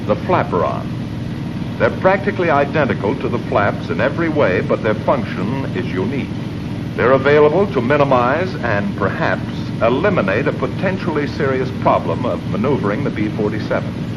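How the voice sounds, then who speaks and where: An American man narrating a scripted training film in a measured, instructional manner. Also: mid-range voice. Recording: muffled old film soundtrack, clear noise.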